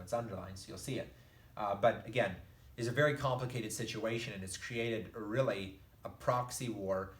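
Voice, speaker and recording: A man's voice: on the low side, fairly bright tone. Rambling male teacher, echoey room. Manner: rambling, conversational, many fillers and restarts. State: losing his voice. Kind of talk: recorded geography lecture.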